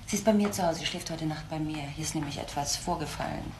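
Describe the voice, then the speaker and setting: An actress playing a determined, protective social worker in a radio crime drama, speaking urgently and insistently. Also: female voice, deep voice, quick pace, natural voice. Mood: controlled. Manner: urgent, insistent, repeating key phrases. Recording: noisy radio drama recording with ambience.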